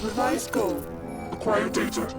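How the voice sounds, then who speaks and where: A man's voice: sits high, fairly even intonation, unhurried, loud music behind the voice. A man playing a calculating machine analyst of a swarm in a video game, in clipped procedural phrases. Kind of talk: scripted video game cutscene acting.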